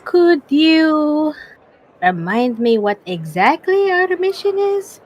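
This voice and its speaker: Woman putting on a squeaky, inquisitive adventurer. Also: very animated, slow pace, heavily acted. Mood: puzzled. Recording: dull, muffled sound.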